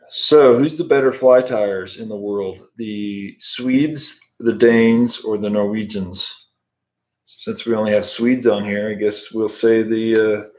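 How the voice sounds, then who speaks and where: A man in his thirties from the United States, casually teaching fly tying on a livestream while chatting with viewers from home. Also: fairly low pitch. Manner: relaxed, chatty, answers viewer comments while working. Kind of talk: casual live tutorial with chat. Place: home room, indoors.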